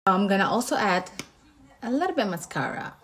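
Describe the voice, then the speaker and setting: A woman's voice: mid-range voice, very animated, brisk, fairly bright tone. A woman with a Moroccan accent, a makeup artist casually explaining each step of her makeup routine.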